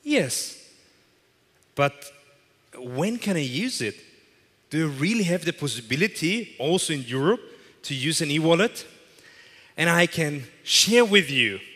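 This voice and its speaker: Bright-voiced man giving a stage keynote. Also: fairly high pitch. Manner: emphatic, repeats phrases for effect. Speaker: keynote presenter. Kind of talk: corporate keynote speech. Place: large event hall with audience. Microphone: headset mic. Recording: long hall reverb.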